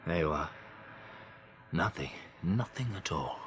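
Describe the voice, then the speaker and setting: Dry Englishman with lively intonation. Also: low-pitched, a little slow. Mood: grave. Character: pragmatic Englishman, survivor of a catastrophe, sober and curious. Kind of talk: radio drama acting.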